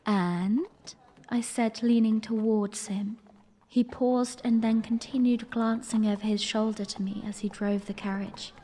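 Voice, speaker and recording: A woman's voice: on the high side, fairly even intonation, measured pace, warm tone. A woman playing a young, playful, romantic princess who tells her story in a genteel, tender way in a fan audio drama. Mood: playful, warm.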